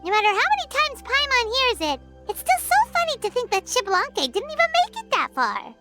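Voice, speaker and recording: A tiny, bubbly fairy companion from an anime game, with an extremely high squeaky voice. It swoops up and down with childlike curiosity, over music. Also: female voice, wildly swooping pitch, brisk, full character voice. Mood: amused. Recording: background music.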